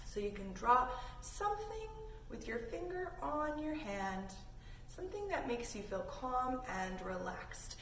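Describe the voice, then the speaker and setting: A woman hosting a kids' yoga lesson, giving casual, encouraging instructions in an animated way made for young children. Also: high-pitched, measured pace. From Canada. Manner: animated, child-directed, addresses listeners as friends. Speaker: children's yoga teacher and host. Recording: roomy echo, distant mic, faint noise.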